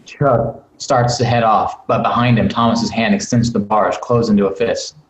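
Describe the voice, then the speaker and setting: A man's voice: fairly low pitch, fairly even intonation, normal speed. A man reading stage directions as scripted narration at a table read over a video call. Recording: roomy, echoing video call audio.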